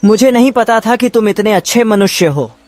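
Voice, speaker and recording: A man voicing a grateful talking donkey, a cursed king, in an animated moral story. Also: sits high, normal speed, dry recording. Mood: grateful, regretful. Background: music.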